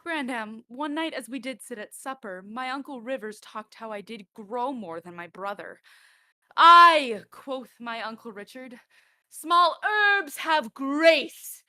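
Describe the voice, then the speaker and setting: Woman doing a precocious child prince, sharp bright tone, slow formal phrasing, radio drama. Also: high voice, expressive, full character voice. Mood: pert. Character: precocious young prince, chatty little royal boy. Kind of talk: Shakespearean radio play acting.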